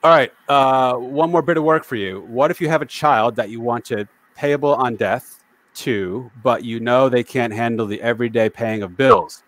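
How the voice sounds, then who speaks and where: A man's voice: mid-range voice, moderate pace, a bit bright. A man from the New York area hosting a casual livestream over a video call, chatty and self-deprecating as he reads viewer comments aloud. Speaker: livestream host. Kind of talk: casual live-stream chat.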